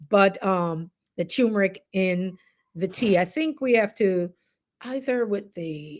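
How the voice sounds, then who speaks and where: A woman with a Jamaican accent hosting a casual livestream, talking directly to viewers in a conversational, encouraging way. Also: medium pitch. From Caribbean, Jamaica. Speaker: livestream host, coach.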